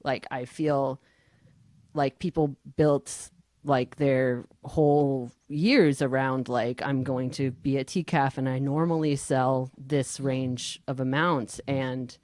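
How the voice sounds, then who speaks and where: A woman moderating a podcast panel, talking casually in a halting, conversational way. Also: a bass voice, steady tone, a little mellow.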